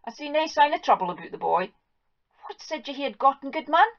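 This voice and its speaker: Woman doing a shrill, scolding old Scots farm wife. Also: sing-song, fairly quick. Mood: fretful scolding. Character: fussy, excitable old Scottish farm wife. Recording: dull lo-fi recording.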